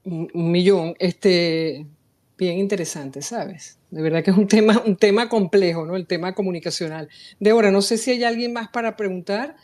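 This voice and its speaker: A woman's voice: mid-range voice, normal speed, a little mellow. A woman on an interview panel, musing aloud with tag questions.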